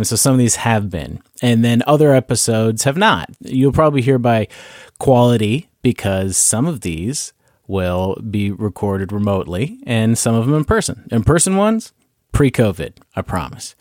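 A man's voice: mid-range voice, animated, normal speed, darkish tone, dry recording, studio quality. A man from Canada with a slight Canadian accent, hosting a casual comedy podcast and rambling with self-mocking jokes. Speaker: podcast host.

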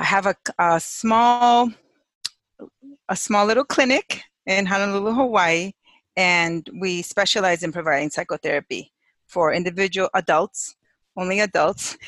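A woman from Chicago, a psychologist, speaking in a casual interview with frequent laughs and thoughtful pauses. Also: on the low side, dry recording. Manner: warm, laughs often, thoughtful pauses with fillers. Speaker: guest, clinical psychologist and sex therapist. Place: home office in Hawaii.

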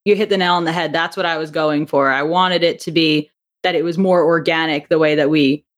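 A woman speaking as a podcast guest in a conversational interview, telling things in a chatty, animated way. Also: sits low, a little fast. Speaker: podcast guest, audio drama writer and director.

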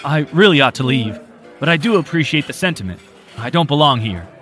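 A man voicing a humble, polite male vagrant who pleads softly. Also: on the high side, big pitch swings, brisk, faint music behind, pitched above the performer's own voice.

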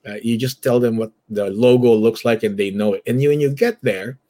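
A man from the Philippines with a Filipino accent and a husky voice, telling his story conversationally as an interview guest. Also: medium pitch, steady tone, fairly quick, mellow. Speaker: church elder and guest.

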